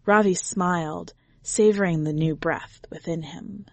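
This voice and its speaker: A woman's voice: fairly low pitch, a little slow, creaky, darkish tone. A woman reading a short story aloud as a podcast host, in scripted narration.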